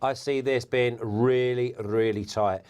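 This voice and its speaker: A man, a former footballer, giving relaxed football punditry as a guest, laughing easily and restarting words. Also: mid-range voice, unhurried, clear, resonant tone. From England.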